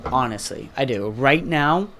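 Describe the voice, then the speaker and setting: A man's voice: mid-range voice, lively intonation, a little slow, a bit bright, slight background noise. A seventeen-year-old man hosting a casual podcast, rambling with lots of fillers and swearing. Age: teens. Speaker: podcast host. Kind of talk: casual chat.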